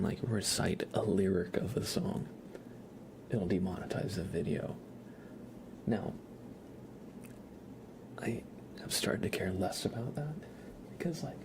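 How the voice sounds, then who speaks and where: A soft-spoken man chatting casually on an ASMR livestream, drifting in and out of a whisper. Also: fairly low pitch, creaky, noisy background.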